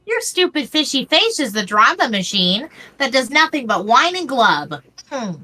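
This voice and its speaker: A woman plays a young female troll, frank and full of spirit, in a webcomic reading. Her voice is high and bright, with lively swings in pitch. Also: moderate pace, exaggerated voice. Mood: scolding. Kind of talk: amateur dramatic reading of a webcomic.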